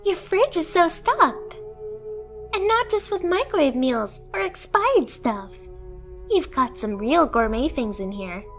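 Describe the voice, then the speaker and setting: A woman's voice: high-pitched, sing-song, takes it slowly, full character voice. A woman voicing a submissive, obsessively devoted stray cat girl in an anime ASMR roleplay, with a girlish, eager, self-deprecating manner. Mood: awed, excited. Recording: music behind the voice. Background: music.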